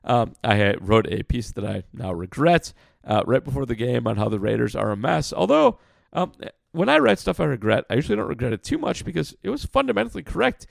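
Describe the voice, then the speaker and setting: A man hosting a casual podcast, answering listener questions in a blunt, frank way with lots of fillers; his voice sounds rough like sandpaper. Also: medium pitch, sing-song, a little fast, no echo, clean studio sound. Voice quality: gravelly, like sandpaper. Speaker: podcast host.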